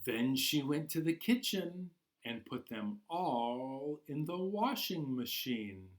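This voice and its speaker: Slow-paced man hosting children's storytime. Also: a little high, poor audio. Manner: animated, expressive, encouraging toward children; slow, deliberate storytelling with dramatic pauses. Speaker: library storytime host. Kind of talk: children's storytime, reading a picture book aloud.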